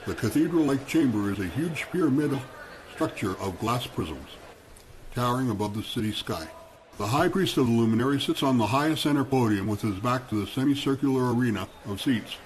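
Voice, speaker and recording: A man's voice: mid-range voice, expressive, brisk, slight background noise, low-quality recording. A man reading scripted narration for a radio play.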